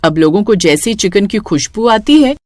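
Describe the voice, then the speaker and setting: A woman's voice: mid-range voice, animated, moderate pace, dry recording. A woman narrating a scripted cartoon story as its storyteller.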